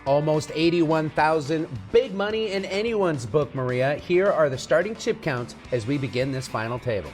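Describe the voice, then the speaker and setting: A man's voice: fairly high pitch, animated, moderate pace, few pauses, soft background music. A man hosting a televised poker tournament with upbeat broadcast presentation and commentary. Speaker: poker show host and commentator.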